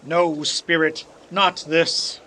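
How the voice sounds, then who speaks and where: A man's voice: fairly high pitch, flat delivery, very slow, bright, forceful tone, heavily acted. A man playing an anguished old miser in a radio play, pleading and dramatic. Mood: dreading. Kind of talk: live-audience radio play acting.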